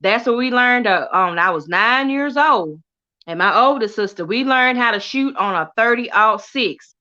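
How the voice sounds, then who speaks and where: A woman's voice: on the high side, unhurried, muffled recording. A middle-aged woman from Texas with a Southern accent, chatting casually on a livestream, reading out viewer comments with lots of laughter. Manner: animated, laughs often, chatty and warm toward viewers. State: coughing from allergies. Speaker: livestream host.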